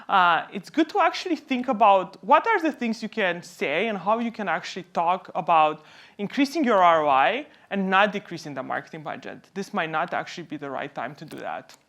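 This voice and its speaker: Woman doing a conference talk, lowish voice, from home, explains stuff by asking questions then answering. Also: lively intonation, moderate pace, a bit bright. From Romania. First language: Romanian. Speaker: company co-founder presenting at a conference. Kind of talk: conference presentation.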